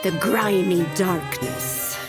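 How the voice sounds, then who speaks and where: A woman voicing a shrewd, composed older madam with a theatrical, wry, measured delivery in a video game. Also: lowish voice. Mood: fascinated. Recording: loud music behind the voice.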